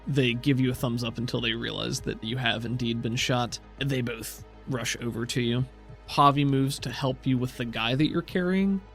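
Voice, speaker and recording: A man runs a tabletop roleplaying game, describing each scene in a conversational, improvised way. His voice is mid-pitched, with faint music behind it. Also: steady tone, moderate pace, fairly bright tone. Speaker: game master.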